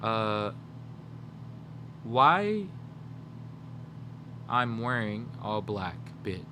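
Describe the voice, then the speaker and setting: A young man livestreaming, chatting casually with his viewers and rambling as he brainstorms jokes out loud. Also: lowish voice, sing-song, extremely slow, slight background noise. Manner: rambling, brainstorming jokes aloud, talking to chat. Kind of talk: casual chat with live viewers.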